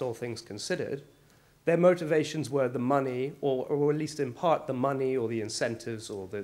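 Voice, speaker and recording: A man with a gravelly voice, hoarse from a cold, giving an academic lecture in a wry, measured way. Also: mid-range voice, darkish tone. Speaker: guest lecturer.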